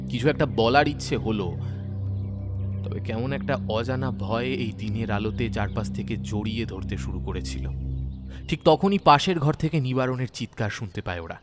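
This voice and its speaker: A man narrating a scripted horror story dramatically, with suspenseful, deliberate phrasing. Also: medium pitch, music in the background.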